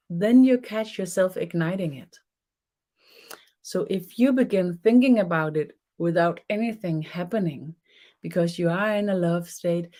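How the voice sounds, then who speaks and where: A middle-aged Danish woman speaks English with a clear Danish accent, leading a spiritual webinar. Her voice is mellow and on the low side. She talks gently and unhurriedly, often stopping and restarting while she hunts for the right word. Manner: soft, unhurried, searching for words with restarts. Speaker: spiritual teacher. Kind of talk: webinar teaching.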